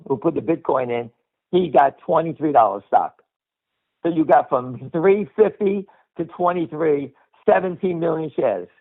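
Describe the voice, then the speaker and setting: A muffled, lo-fi, radio-like line carries an older man with a fairly high-pitched voice. He gives off-the-cuff market commentary as a call host, blunt and profane, hammering his points by repeating them. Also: gravelly. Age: middle-aged or older. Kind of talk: unscripted opinionated market commentary.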